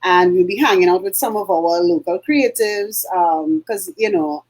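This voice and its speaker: A woman's voice: fairly low pitch, moderate pace, a little mellow, poor audio. Chatty Trinidadian woman co-host. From Trinidad and Tobago. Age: middle-aged. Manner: chatty, many fillers and throat clearing. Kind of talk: casual chat.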